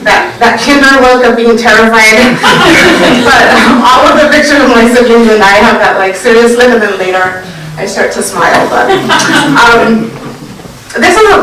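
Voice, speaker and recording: A woman's voice: fairly high pitch, noisy background. Woman speaking in an echoey hall. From Central Valley, California. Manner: thoughtful, frequent "um" pauses. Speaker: award recipient and education researcher. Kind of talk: prize acceptance talk with slides. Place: lecture hall at an award event. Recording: echoey room, distant mic.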